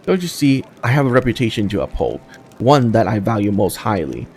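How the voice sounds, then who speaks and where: A man's voice: fairly low pitch, very animated, brisk, a little mellow, slight background noise. A man voicing a haughty, wealthy merchant from a fantasy game, formal and pompous in his proud delivery. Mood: indignant. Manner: formal, pompous, fussy diction.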